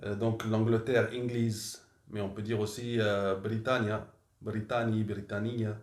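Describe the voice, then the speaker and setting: A man with a lowish voice gives an unscripted language lesson, pausing with fillers and correcting himself as he goes. The room around him has a noticeable echo that lingers on each phrase. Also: flat delivery. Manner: unscripted, halting with frequent fillers and self-corrections. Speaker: Arabic teacher. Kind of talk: language lesson, thinking aloud. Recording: echoey room.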